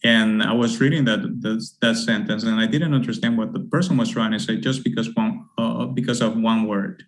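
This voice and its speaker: A man's voice: fairly low pitch, fairly even intonation, normal speed. A middle-aged man, a university English teacher giving an academic presentation, rambling with many fillers and repeated words. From Honduras. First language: Spanish.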